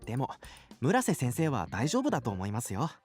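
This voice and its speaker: A polite young gossip in a visual novel, rattling along very fast with a lively, swinging pitch. Game music plays faintly under him. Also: male voice, husky. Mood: chatty.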